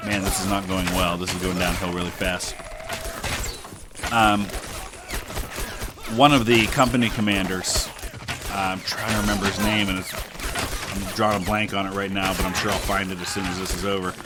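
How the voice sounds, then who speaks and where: A middle-aged man hosting a gaming channel, with a stuffed-up nose, rambling through a relaxed history commentary full of "uh" and "um". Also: low voice, normal speed, long pauses, heavy background noise. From Ohio, United States. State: stuffed-up nose from a sinus infection. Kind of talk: casual history commentary over gameplay.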